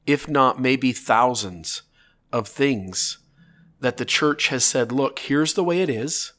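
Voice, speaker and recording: A man's voice: mid-range voice, fairly bright tone. Earnest man with a slight cold. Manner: earnest, building arguments in long lists, frequent rhetorical "right?". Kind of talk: casual talk to camera or podcast.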